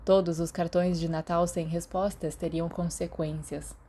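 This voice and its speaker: A Brazilian woman narrates a story from a script in a soft-edged, mellow voice. Her pitch sits a little low for a woman, and she reads as an audiobook narrator. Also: flat delivery, normal speed. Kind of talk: scripted fan fiction narration.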